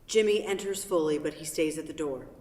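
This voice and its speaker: A woman's voice: low-pitched, a little fast, a little mellow. A woman reading stage directions as scripted narration for a play. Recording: very echoey hall from far off.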